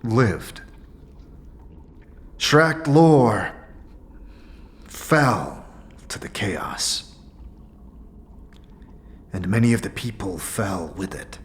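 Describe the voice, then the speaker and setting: Slow, solemn warrior sage, acted by a man. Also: on the low side, expressive. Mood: grave, mournful. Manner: slow, formal, aphoristic. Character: solemn male githzerai warrior sage, measured and cryptic. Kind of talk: fantasy audio drama acting.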